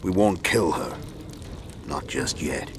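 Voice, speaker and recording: A man acting a calm, calculating assassin leader in a video game, speaking in measured, terse and commanding lines. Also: extremely low pitch, background noise, exaggerated voice. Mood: stern. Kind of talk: dramatic video game dialogue. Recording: music behind the voice.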